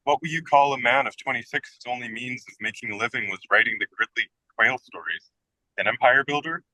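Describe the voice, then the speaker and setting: A man's voice: mid-range voice, fairly quick, sharp tone, no room sound, poor audio. A man playing a self-deprecating, whimsical American writer in his twenties in a radio comedy, with a wry, wordy, theatrical delivery. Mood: rueful, teasing. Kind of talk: radio play comedy dialogue.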